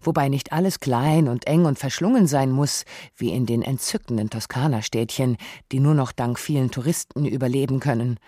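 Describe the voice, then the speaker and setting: Mellow, close-up documentary narrator. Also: medium pitch, no echo. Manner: measured, essayistic, rhetorical questions.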